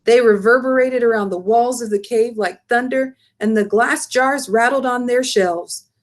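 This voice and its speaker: A woman reading a children's storybook aloud as expressive scripted narration. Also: on the high side, moderate pace. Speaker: storybook reader.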